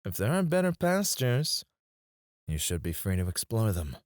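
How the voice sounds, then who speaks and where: A man playing a possessive, outwardly gracious CEO in an intimate scripted ASMR roleplay, speaking in a measured, suave manner. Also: lowish voice, big pitch swings, dry recording, studio quality. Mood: suave, coaxing. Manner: measured, suave, soft chuckles and sighs. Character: smooth, possessive male CEO, outwardly gracious, quietly controlling.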